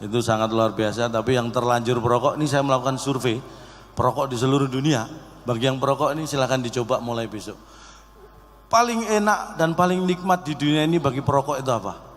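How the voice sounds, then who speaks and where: A man's voice: mid-range voice, fairly bright tone. A man, giving a joking, conversational humorous speech directly to a live audience. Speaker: comic speaker at an organisation's event. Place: large hall event with audience. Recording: echoey hall PA with background noise.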